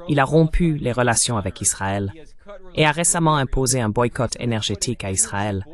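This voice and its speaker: A man delivering a voice-over translation of political commentary in a measured, explanatory style. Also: medium pitch, lively intonation.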